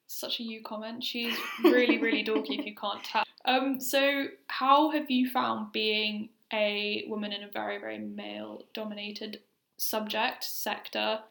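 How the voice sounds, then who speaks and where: A woman's voice: on the high side, level tone, a little slow, gravelly, fairly bright tone, slight background noise. Young woman host, teasing and friendly. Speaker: podcast host. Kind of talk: casual conversational interview. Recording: roomy echo.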